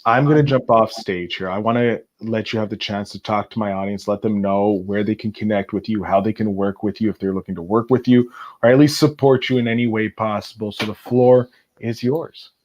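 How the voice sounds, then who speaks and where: A man's voice: on the low side, steady tone, a little fast, a little mellow, highs cut off. A man hosting a podcast, talking in a casual, conversational interviewing style. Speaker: podcast host.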